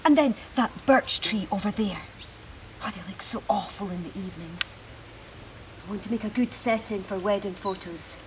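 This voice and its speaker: A woman acting in an audio drama as a bossy, chattering woman who fusses over home and child in a brisk, gushing way. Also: medium pitch, background noise, natural voice. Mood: bossy, fussy.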